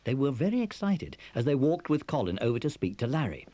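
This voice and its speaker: A man's voice: medium pitch, big pitch swings, fairly quick, warm tone, slight background noise. A man narrating a children's adventure story from a script.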